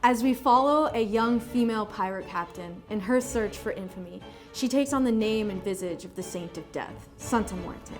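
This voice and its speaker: An American woman speaks at a medium pitch over a faint music bed. She comments in a promotional interview style as the creator of an audio drama. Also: normal speed, few pauses. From American Southwest.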